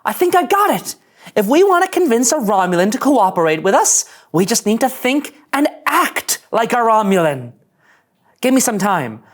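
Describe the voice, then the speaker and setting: A woman plays a young space ensign in a script read. Her pitch is high and very mobile, giving an anxious, eager, excitable character. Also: moderate pace. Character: jittery, chirpy young starship ensign.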